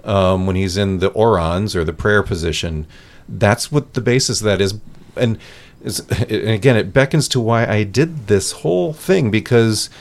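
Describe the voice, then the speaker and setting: A man's voice: on the low side, moderate pace. A man from central Iowa, a priest guest on a studio talk show, explaining in an earnest way with frequent restarts. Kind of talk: studio podcast conversation. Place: radio studio.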